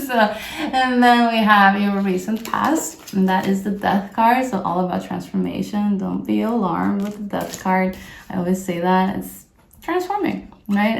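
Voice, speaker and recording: Casual female tarot reader from Brazil, mid-pitched, chatty, recorded in an echoey room. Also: creaky, darkish tone. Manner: chatty, upbeat, many "like" fillers. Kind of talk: casual tarot reading to camera. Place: sunny room with plants. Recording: echoey room, faint noise.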